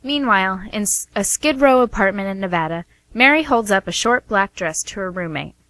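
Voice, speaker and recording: A woman's voice: medium pitch, lively intonation, fairly quick, a bit bright, no echo. A woman reading scripted stage-setting narration for a radio play.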